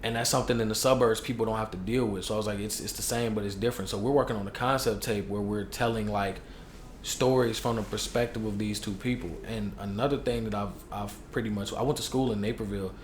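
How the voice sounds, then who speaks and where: A young man with a Chicago accent talks in a low, easygoing voice, dropping slang as he tells candid stories from his life. He sounds like a podcast guest, recorded in an echoey room with a faint hiss behind him. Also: fast. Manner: relaxed, slangy, candid storytelling. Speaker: hip hop artist guest. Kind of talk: casual podcast interview.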